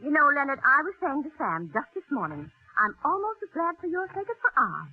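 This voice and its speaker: A woman's voice: high-pitched, big pitch swings, a little fast, no room sound. A narrow, tinny radio recording with faint music carries an older landlady. Her voice swoops with neighborly fuss, folksy and kindly in the manner of a 1940s radio play. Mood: chatty, pleased. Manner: folksy, solicitous, chatty. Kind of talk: 1940s radio drama acting.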